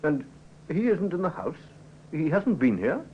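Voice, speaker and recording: An actor playing an authoritative, easily indignant doctor with clipped, commanding speech in an old radio drama. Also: male voice, on the high side, very animated, measured pace. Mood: businesslike. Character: brisk, indignant, authoritative male family doctor. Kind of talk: 1950s radio drama acting. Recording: dull, noisy old radio recording.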